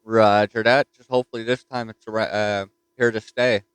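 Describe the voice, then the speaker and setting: A man with a Newfoundland accent chatting casually as a ham radio operator from his home station, relaxed and easygoing. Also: lowish voice, moderate pace, a little hiss or hum. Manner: easygoing, says "roger that".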